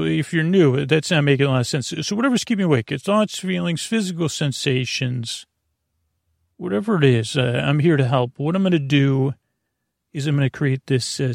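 A man with a creaky voice hosting a bedtime podcast, meandering and halting through a soothing monologue full of tangents and self-corrections. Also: medium pitch, no echo, clean studio sound. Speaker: podcast host. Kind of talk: rambling bedtime monologue meant to lull listeners to sleep.

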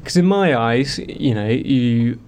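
A man's voice: mid-range voice, lively intonation, slow, darkish tone, clear, resonant tone. A young man, a singer-songwriter, talking casually and rambling through stories with lots of fillers. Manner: rambling, filler-heavy storytelling. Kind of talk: casual chat.

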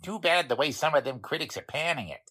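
A man plays a plain-spoken bank attendant in a 1940s-style radio drama. He is chatty and deferential, with a rough-edged husky voice and very animated intonation. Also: on the high side, fast talker, heavily acted.

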